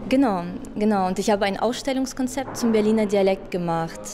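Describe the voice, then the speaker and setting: A woman's voice: medium pitch, brisk, background noise. A young woman in her twenties explaining her exhibition concept, hesitant with many fillers, into a handheld mic in an exhibition hall. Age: 20s. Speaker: design graduate. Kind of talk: interview at an exhibition. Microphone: handheld interviewer mic.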